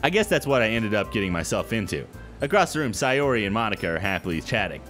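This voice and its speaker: A man hosting a gaming video, reading a visual novel aloud with casual, expressive commentary. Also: fairly low pitch, fast. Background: soft background music.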